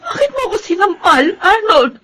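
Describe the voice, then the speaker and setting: High-voiced wronged pregnant wife. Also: female voice, big pitch swings, normal speed, pushed and bright, slight background noise, heavily acted. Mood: tearful, indignant. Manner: emotional, confrontational. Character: wronged pregnant wife and teacher, hurt, indignant, defiant. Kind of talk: Tagalog radio drama acting.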